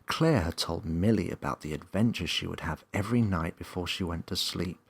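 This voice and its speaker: A man reading a children's story as narrator, in an expressive scripted storybook style. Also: deep voice, a little fast, vocal fry, husky.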